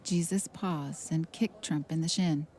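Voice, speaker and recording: A woman reads as a narrator of a satirical tale. Her voice is low, dark and husky, and she delivers the scripted lines plainly. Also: fairly even intonation, measured pace. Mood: matter-of-fact. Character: plain, unhurried storybook-style female narrator.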